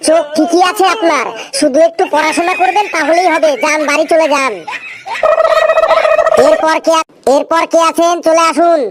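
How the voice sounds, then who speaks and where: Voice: very high voice, fairly even intonation, moderate pace, slight background noise. A performer voicing a sarcastic, brusque cartoon doctor who scolds patients in a comedy skit. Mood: dismissive.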